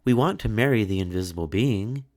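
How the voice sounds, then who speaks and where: A man's voice: on the low side, lively intonation, brisk, darkish tone, pitched above the performer's own voice. A man voicing two vain, cruel, haughty young sisters in a children's storybook. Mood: boastful. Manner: haughty, demanding, stammering when cornered.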